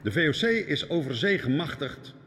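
A man's voice: fairly high pitch, animated, fairly quick, a bit bright. A man playing a commanding seventeenth-century statesman in an audio drama, formal and blunt in his declamation. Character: commanding 17th-century statesman chairing a merchants' meeting. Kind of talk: historical audio drama acting. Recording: long hall reverb.

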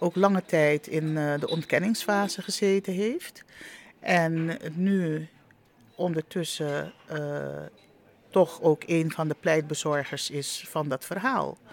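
A man speaking reflectively in an interview, in a deliberate, halting manner. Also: fairly high pitch.

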